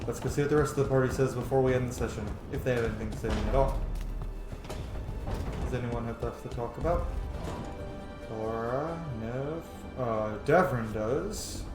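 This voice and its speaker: A man's voice: medium pitch, moderate pace, long pauses. A man giving casual, opinionated gameplay commentary in informal, sweary language. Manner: profane, informal. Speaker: video game streamer giving commentary. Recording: roomy echo, faint game music behind. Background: faint game music.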